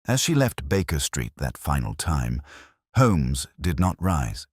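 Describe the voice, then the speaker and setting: A man narrating a scripted story as an audiobook reader, in a measured, literary delivery. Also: extremely low pitch, very animated, slightly rough, no echo, clean studio sound.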